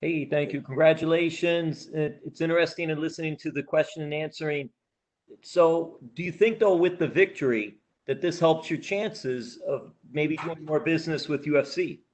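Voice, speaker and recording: A man's voice: on the high side, moderate pace. A male reporter politely asking a fighter a question. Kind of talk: press conference question.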